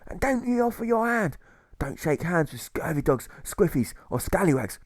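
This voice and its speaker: A man voicing a gruff, volatile old sailor turned jailer in an audiobook, with a stage pirate accent and blustering, exclamatory delivery. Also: fairly high pitch, big pitch swings, moderate pace, no echo, clean studio sound, voice pushed up.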